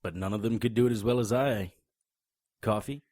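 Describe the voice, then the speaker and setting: A man's voice: fairly low pitch, brisk, a little mellow, no echo. A man narrating and acting the lead in a noir audio drama, dry and wry in manner.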